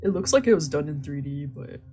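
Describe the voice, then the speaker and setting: Low-voiced woman reading a visual novel. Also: expressive, brisk. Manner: reads narration, then drops into joking asides. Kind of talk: casual read-aloud with chatty asides.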